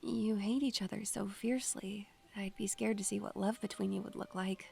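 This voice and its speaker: Woman acting a creaky-voiced, haunted young woman. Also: medium pitch, steady tone, normal speed, a little mellow. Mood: gently chiding. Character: young haunted student woman who talks to spirits, Maggie. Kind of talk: audio drama with poetic first-person narration.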